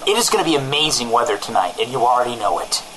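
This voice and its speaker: A man playing a paranoid, stubborn radio co-host who speaks emphatically in deadpan comedy banter. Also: mid-range voice, rapid-fire, a crowd in the background, lightly acted, rough recording. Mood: paranoid, emphatic.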